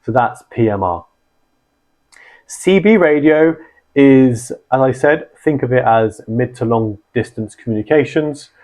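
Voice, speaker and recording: A man hosting a channel, explaining gear in a relaxed conversational way full of ums and restarts. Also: medium pitch, unhurried. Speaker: channel host and founder of a radio community. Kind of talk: casual explainer talk.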